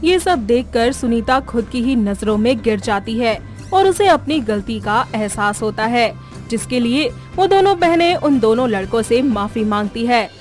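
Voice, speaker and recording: Female storyteller, high register, scripted cartoon narration over faint music. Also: expressive, unhurried, darkish tone.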